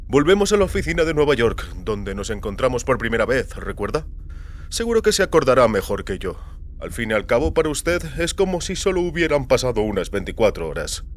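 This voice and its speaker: A man voicing an older, genial businessman, brisk and cordial, in a science fiction audio story. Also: mid-range voice, big pitch swings, a little hiss or hum, voice pushed up, lightly acted. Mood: brisk, informative.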